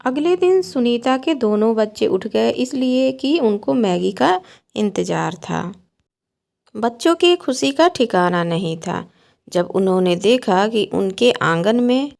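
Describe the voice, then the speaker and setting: Woman reading a kids bedtime story, unhurried, lots of creak in the voice. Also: a little high, lively intonation, darkish tone. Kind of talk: scripted bedtime story narration.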